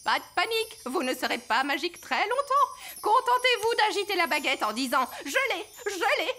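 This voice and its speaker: An elderly fairy nanny with a very high, lively voice. She is bossy and matter-of-fact, quick to dismiss or scold. Cartoon music runs loudly behind her. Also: heavily acted. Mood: brisk, dismissive. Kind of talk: animated cartoon dub. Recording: voice over loud cartoon music.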